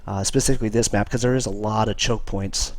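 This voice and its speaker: A man's voice: lowish voice, fairly even intonation, fast, no echo. A man with a cold, hosting a live game stream and chatting casually with many fillers. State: has a cold. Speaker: esports caster and stream host.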